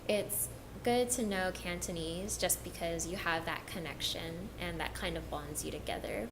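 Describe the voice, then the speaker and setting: Woman from hawaii, interview subject, thoughtful and measured, lowish voice. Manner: measured, reflective, hedges with "I think". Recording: background noise.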